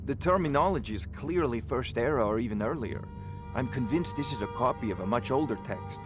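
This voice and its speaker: An actor playing a haughty, condescending court wizard in a fantasy video game, pompous and pedantic. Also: male voice, mid-range voice, animated, fairly quick, natural voice. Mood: eager, absorbed. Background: music.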